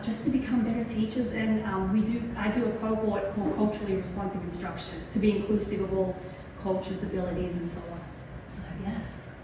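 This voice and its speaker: A woman's voice: medium pitch, recorded from a distance, noisy background. A woman with an Australian accent giving a chatty, enthusiastic keynote to a small audience, with plenty of fillers. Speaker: keynote speaker. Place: lecture hall. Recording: very echoey hall, heard from afar.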